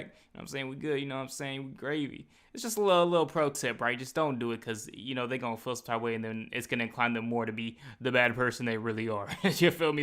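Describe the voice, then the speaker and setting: A man hosting a reaction video, chatting casually and slangily to viewers in an animated way. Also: medium pitch, creaky. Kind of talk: casual excitable commentary.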